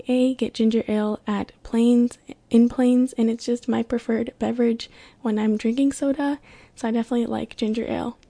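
A young woman hosts her own podcast in a loose, chatty way, full of little fillers. Her voice sits a bit high but stays flat and even, with a mellow, soft tone and a lot of gravelly fry. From born in Kenya, raised in California. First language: Swahili. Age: young adult. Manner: chatty, relaxed, filler-heavy. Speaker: podcast host. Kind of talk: casual solo podcast chat.

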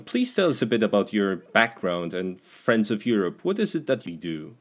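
A man's voice: on the low side, very animated, normal speed. A man hosting an interview podcast, posing questions in a formal, courteous manner. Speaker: podcast host.

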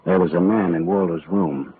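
Muffled vintage radio noir: low-pitched male private eye, sardonic, clipped, hard-boiled. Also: moderate pace, husky. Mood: terse. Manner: sardonic, clipped, slangy wisecracking. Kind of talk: old-time radio noir acting and first-person narration. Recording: muffled, noisy old-time radio recording.

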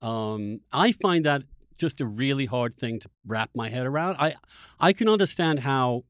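A man's voice: medium pitch, lively intonation, no room sound, studio quality. A man hosting an interview, talking through political ideas in an articulate, argumentative way, often restarting as he searches for words. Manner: halting, searching for words with repeated starts. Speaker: interviewer, podcast host. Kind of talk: conversational political discussion.

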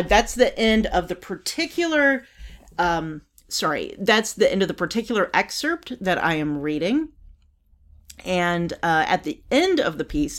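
An older woman from the United States hosting her own podcast, talking casually with many fillers, restarts and wry laughs. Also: fairly low pitch. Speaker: podcast host. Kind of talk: casual solo commentary.

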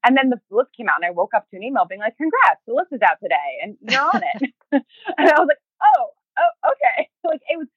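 A woman giving a casual interview as a podcast guest, talking in a chatty, halting way and often slipping into quoted speech. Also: on the high side, wildly swooping pitch, slightly rough, no room sound, muffled recording. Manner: chatty, halting, acts out remembered thoughts and emails in quoted speech. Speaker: podcast guest, fiction podcast creator and writer.

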